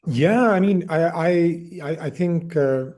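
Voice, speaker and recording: A man's voice: a little high, expressive, a little slow, darkish tone. A man from India speaking as an interviewed film director, reflective, often repeating words as he searches for phrasing.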